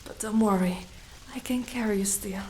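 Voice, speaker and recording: A woman voicing a proud, fiercely protective female dragon knight in an ASMR roleplay, speaking deliberately and often breathy or whispered. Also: medium pitch, a little mellow, slight background noise, natural voice, low-quality recording. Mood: weary, remorseful. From Italy.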